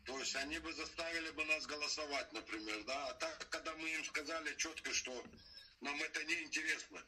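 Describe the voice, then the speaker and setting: Middle-aged man, halting and distant. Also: a little high, pushed and bright, a little hiss or hum. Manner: halting, repeats filler phrases and restarts. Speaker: interviewee, father of a detained son. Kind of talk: casual spoken testimony.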